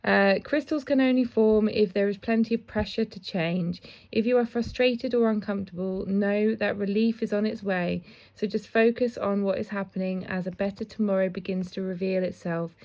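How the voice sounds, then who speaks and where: Chatty woman with a croaky voice. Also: mid-range voice, steady tone, normal speed. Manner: chatty, warm asides, often laughs at herself. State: slightly croaky voice. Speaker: intuitive card reader. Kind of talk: casual spiritual card reading.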